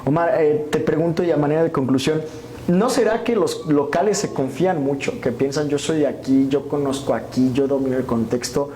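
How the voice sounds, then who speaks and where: A Mexican man moderates a televised panel, posing long, winding questions to his guests. His tone is mellow and warm. The studio sounds roomy, with a faint hum behind him. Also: fairly high pitch, a little fast. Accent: Mexican Spanish. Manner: asks long rhetorical questions. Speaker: debate show host. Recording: echoey studio with background noise.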